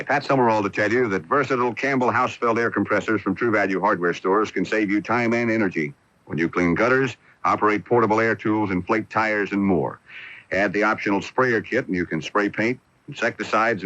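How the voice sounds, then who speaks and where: A man's voice: on the low side, a bit bright. A man reading a scripted radio commercial as a spokesman. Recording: muffled AM radio broadcast recording.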